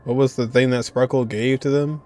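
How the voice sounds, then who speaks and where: A young man reacting to a video game at his desk, asking quick questions and muttering comments. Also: mid-range voice, steady tone, a little mellow, soft background music. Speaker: reaction streamer. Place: indoor room at a desk.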